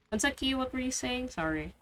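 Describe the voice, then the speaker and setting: A woman's voice: sits high, lively intonation, normal speed, fairly bright tone. A woman streaming a game, giving informal, conversational reactions as she plays. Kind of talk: casual live reaction commentary.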